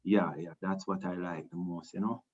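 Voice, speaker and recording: Jamaican reggae guy rambling thru an interview, lowish voice, muffled lo-fi audio. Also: moderate pace. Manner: relaxed, rambling, many restarts and "you know". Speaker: reggae singer, interview guest. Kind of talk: casual interview talk.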